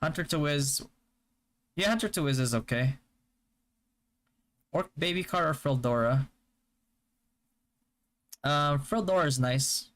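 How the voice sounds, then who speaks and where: A young man from the Philippines whose first language is Hiligaynon, chatting casually on a live stream and answering viewer questions in a relaxed, stop-start way. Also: on the high side, a little slow, pauses often. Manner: relaxed, stop-start, with frequent fillers and restarts. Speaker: game streamer.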